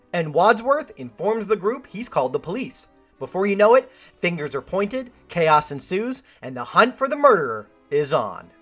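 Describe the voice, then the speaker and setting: A woman hosting a film review, reading a scripted video essay with wry, joking asides. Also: mid-range voice.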